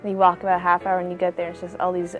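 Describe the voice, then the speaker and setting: An American teenage girl answers interview questions casually. Her pitch is lowish and stays very even, with faint music in the background. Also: rapid-fire, low-quality recording. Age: teenager, about 15.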